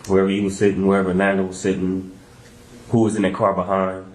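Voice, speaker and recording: A man's voice: low-pitched, completely flat delivery, fast, noisy background. An American man questioning someone as a police interrogator, in casual, familiar, colloquial speech. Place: indoor interview room. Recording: echoey interview room recording.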